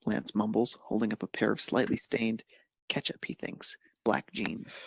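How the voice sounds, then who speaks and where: A man's voice: on the low side, level tone, moderate pace, creaky, husky, no room sound. A man reading scripted fan fiction narration as the narrator of an amateur story.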